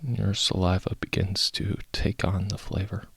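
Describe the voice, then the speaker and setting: A man leads a guided meditation at a very slow, unhurried pace. His voice is husky with a heavy creak, and he speaks softly and calmly, leaving long pauses between short instructions. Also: low-pitched, no echo. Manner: calm, unhurried, soft-spoken with long pauses.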